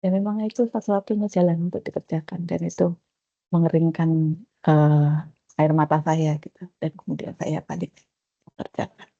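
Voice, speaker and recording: A woman, reflecting on her doctoral journey in a personal talk to a research group, with many pauses and fillers. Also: low-pitched, little pitch movement, creaky, warm tone, dry recording. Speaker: doctoral graduate sharing her experience. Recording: dull lo-fi call audio.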